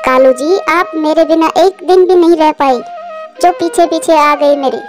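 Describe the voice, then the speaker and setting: A bossy, scheming crow wife played by a woman talks in a high, sharp-tongued way. This is cartoon dialogue over clearly audible music. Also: measured pace. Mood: scolding.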